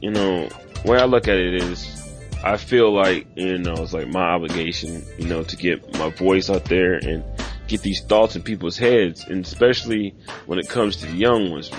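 A man hosts a casual podcast, talking in relaxed slang with loose, rambling sentences. His voice sits on the low side. Music plays clearly behind him. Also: normal speed. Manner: laid-back slang, rambling with fillers. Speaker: podcast host.

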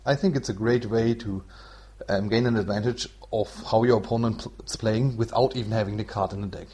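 A man from Germany with a German accent, hosting a solo podcast in an explanatory, somewhat halting non-native way. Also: fairly low pitch, fairly even intonation, darkish tone, slightly rough. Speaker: podcast host. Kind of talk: explanatory solo podcast talk about card game strategy.

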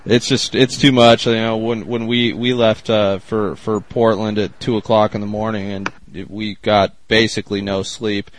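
A man's voice: fairly low pitch, level tone, moderate pace, hardly any pauses, a little hiss or hum, poor audio. A man from the US Northwest co-hosting a podcast in a casual, laid-back way, laughing often. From Spokane area, US Northwest. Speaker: podcast co-host.

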